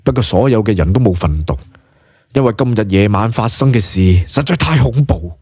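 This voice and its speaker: A man's voice: on the low side, expressive, a little fast, darkish tone, slightly rough, no room sound, clean studio sound. A man with a Cantonese accent narrating a scripted story in a dramatic audiobook style.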